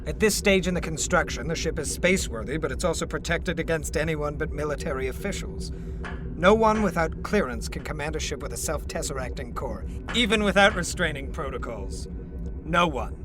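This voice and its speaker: A man's voice: fairly high pitch, lively intonation, a little fast, natural voice. A man playing a mild, literal-minded, hopeful computer programmer aboard a starship in an audio drama, speaking earnestly and precisely.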